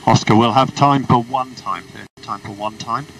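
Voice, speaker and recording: A man's voice: mid-range voice, moderate pace. A man working as a race engineer, giving clipped, procedural instructions over a motorsport team radio. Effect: team radio transmission. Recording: noisy distant radio, car and engine noise.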